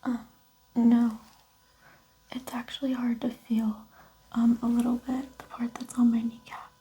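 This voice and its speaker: A woman playing a patient in an ASMR roleplay answers in a very breathy, whispery voice. It sits slightly high, with a soft, mellow tone. Her replies are brief and hesitant. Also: little pitch movement, unhurried, poor audio.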